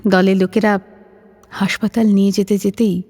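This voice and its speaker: A woman's voice: medium pitch, little pitch movement, normal speed, mellow, long reverb tail. A woman narrating a scripted story dramatically, as presenter of an audio drama.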